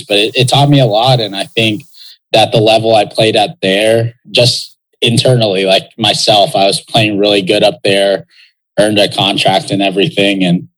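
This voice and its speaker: Young guy from texas, kinda low voice, rambles thoughtfully with lots of likes and you knows. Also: fairly bright tone, low-quality recording. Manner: reflective, loose, many fillers. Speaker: guest, pro soccer player. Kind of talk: casual interview talk.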